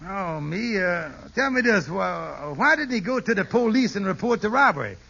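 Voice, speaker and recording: An actor in an old radio sitcom playing an earnest, worried friend who speaks in folksy, ungrammatical style. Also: male voice, sits high, lively intonation, normal speed, creaky, sharp tone, slightly rough, heavily acted. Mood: concerned. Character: earnest, worried, good-natured male friend. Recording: dull, noisy vintage radio recording.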